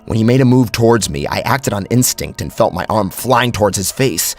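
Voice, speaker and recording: Casting: male horror narrator, low gravelly register, theatrical and suspenseful, swinging widely in pitch over a soft music bed. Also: animated, brisk. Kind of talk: dramatic first-person horror story narration.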